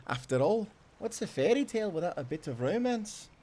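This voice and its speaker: A man's voice: sits high, big pitch swings, a little slow, a little mellow, pitched above the performer's own voice, full character voice. A man playing an affectionate Scottish sailor husband, telling stories in a tender, playful and intimate way. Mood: playful, romantic. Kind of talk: intimate roleplay storytelling.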